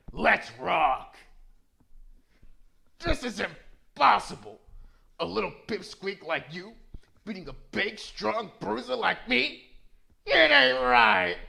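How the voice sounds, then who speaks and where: A man voicing a big bullying bulldog gangster from a video game, blustering in drawn-out indignation. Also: high voice, vocal fry, pushed and bright, heavily acted. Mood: defeated, sore. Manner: blustering, drawn-out indignant tough-guy talk. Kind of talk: video game villain fan voice-over.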